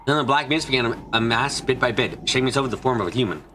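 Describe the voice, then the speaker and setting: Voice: medium pitch, very fast, noisy background. A streamer narrating a visual novel as a live fan dub, in a dramatic storytelling manner. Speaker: streamer reading the story and all parts.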